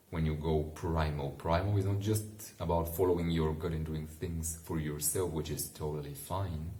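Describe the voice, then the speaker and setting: Man, slow pace, dark soft-edged tone, relaxed read-aloud, casual asides, echoey room. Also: rumbling low, slight background noise. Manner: unhurried, musing, informal. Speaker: bedtime story reader and commentator.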